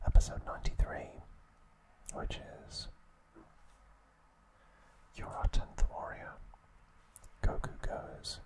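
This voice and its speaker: A man whispering an ASMR trivia recap in a breathy, unvoiced, hushed voice with gentle, halting pauses. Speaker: ASMR host.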